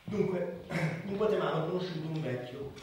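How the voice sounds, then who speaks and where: A man acting in a radio drama as an idealistic doctor, orating with emphatic pauses like a public crusader. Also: on the high side, darkish tone, slight background noise, close to an everyday voice. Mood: visionary fervour. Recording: very echoey hall, far from the mic.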